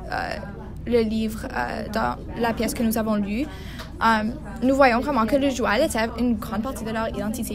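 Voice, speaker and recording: Hesitant teen girl, lots of fillers. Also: a little high. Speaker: student in a class discussion. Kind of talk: classroom round-table discussion.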